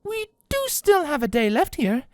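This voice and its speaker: Man voicing an elegant high-pitched female pony. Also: very animated, normal speed, darkish tone, no echo, studio quality. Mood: gently coaxing. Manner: genteel, fond of saying darling. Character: elegant, caring young female pony, refined and gracious.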